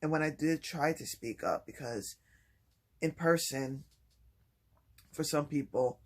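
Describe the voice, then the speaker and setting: A man talking softly and haltingly in a personal vlog, with many pauses and restarts. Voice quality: low voice. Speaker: vlogger. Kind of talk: personal vlog, reflective talk.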